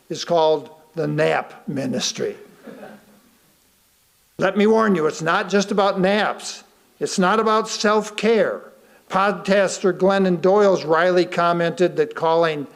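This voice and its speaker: A man reading a sermon aloud to a congregation, deliberately, pausing between short phrases. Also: high voice. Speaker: guest speaker reading a sermon.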